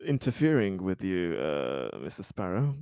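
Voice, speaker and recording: A man's voice: deep voice, big pitch swings, measured pace, mellow, clear, resonant tone, no echo, studio quality. A man playing a tabletop roleplaying game, joking and laughing between lines. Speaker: player. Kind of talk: casual tabletop game chat.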